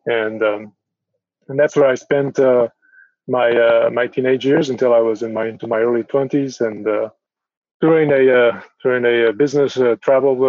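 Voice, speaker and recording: A middle-aged man from Portugal answering interview questions as a podcast guest, speaking haltingly and repeating words as he goes. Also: medium pitch, a little mellow, no room sound. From northern Portugal. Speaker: podcast guest, town mayor. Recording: dull, muffled lo-fi audio.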